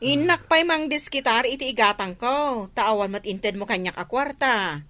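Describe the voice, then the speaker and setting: A shrill, exasperated wife in an Ilocano radio drama, nagging her husband about liquor and money. Her voice rides high and swings widely, bright and cutting, and often cracks toward sobbing. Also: expressive, moderate pace. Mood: scolding. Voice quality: shrill, scolding, voice breaking into sobs.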